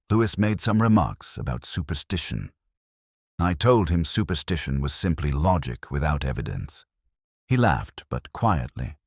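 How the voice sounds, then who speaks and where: Male narrator, extremely low pitch, expressive intonation, measured literary detective storytelling, brooding, dry studio-like sound. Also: slightly rough, no room sound. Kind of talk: scripted first-person detective story narration.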